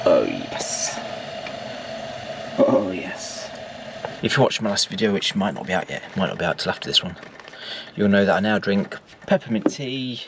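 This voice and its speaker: A man's voice: low voice, big pitch swings, normal speed, creaky. A man in his forties with an English accent, chatting casually to viewers while cooking breakfast in a camper van. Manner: chatty, self-mocking asides to viewers. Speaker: vanlife vlogger. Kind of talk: casual cooking vlog narration. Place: inside a small camper van. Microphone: camera mic across a small table. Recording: distant mic with loud background noise.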